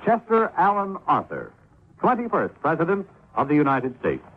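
A man reads a scripted 1950s radio announcement. His voice is slightly high and rises and falls vividly, posing questions to the listener. The recording is thin. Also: wildly swooping pitch, normal speed, breathy edge. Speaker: announcer of an educational spot. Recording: tinny, faintly noisy 1950s radio recording.

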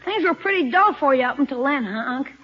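A young American boy in a 1950s radio comedy, heard through a muffled old recording. The voice is extremely high and bouncy, with a cheeky, wisecracking manner. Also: expressive, fast talker. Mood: sceptical.

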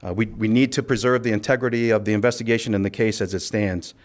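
A man speaking as a sheriff at a press conference, delivering a formal statement in a measured, official, occasionally halting way. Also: fairly low pitch, steady tone. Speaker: county sheriff.

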